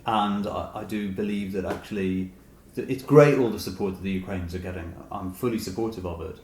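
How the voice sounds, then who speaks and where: A man giving reflective, conversational answers as an author being interviewed, often softening his points. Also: deep voice, brisk. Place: indoor room with echo. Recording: echoey room, some background noise.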